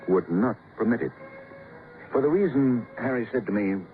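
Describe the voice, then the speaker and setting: A man playing a grave, cultured, mournful doctor with florid, measured phrasing in an old radio drama. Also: medium pitch, expressive, lightly acted. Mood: intense, emotional. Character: grave, cultured, theatrical male society doctor, mournful and guarded. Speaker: actor in a radio detective drama. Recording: muffled old radio broadcast with music.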